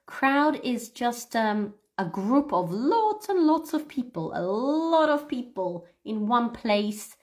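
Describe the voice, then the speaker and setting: A woman hosting a livestream English lesson as the teacher, speaking deliberately and encouragingly to learners as she models phrases for them. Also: medium pitch. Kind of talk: read-aloud pronunciation lesson, addressing learners.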